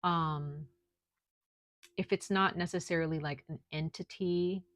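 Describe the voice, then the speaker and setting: A woman giving a casual tarot reading at home, speaking softly at times and peppering her talk with "like" and "okay?". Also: fairly low pitch, steady tone, unhurried, dry recording. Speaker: tarot reader. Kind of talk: casual spiritual reading to camera. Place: at home, noise outside.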